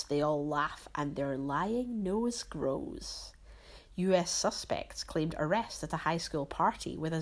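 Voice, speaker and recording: A woman reading rhyming storybook narration as a storyteller, with theatrical, drawn-out emphasis. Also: mid-range voice.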